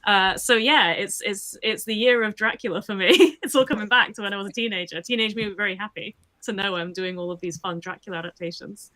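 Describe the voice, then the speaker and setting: A woman's voice: medium pitch, quick pace, few pauses, bright, forceful tone. A woman voice actor chatting in a casual Q&A over a video call, laughing often and poking fun at herself. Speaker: voice actor, guest. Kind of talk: casual livestream Q&A chat.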